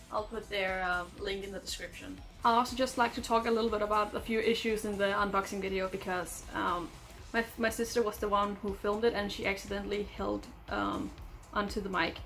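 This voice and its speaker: A woman's voice: mid-range voice, a bit bright. A young woman with a Danish accent, talking casually to camera in her bedroom, hesitant and giggly with many fillers. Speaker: vlogger. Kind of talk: casual vlog talk to camera. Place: small bedroom indoors.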